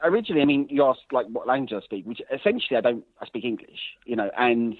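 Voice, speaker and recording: A man talks on a narrow, phone-like line with a slightly low, husky voice. He tells long stories in a loose, rambling way, restarting sentences and stacking fillers as he goes. The sound is dry and close. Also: lively intonation, fast talker, no echo. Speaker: journalist and author, guest. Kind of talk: casual interview answers.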